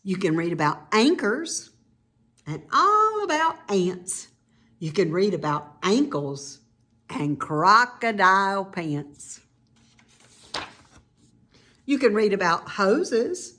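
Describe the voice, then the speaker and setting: A woman reading a children's picture book aloud in an expressive, teacherly way, with informal explanatory asides to young listeners. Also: medium pitch.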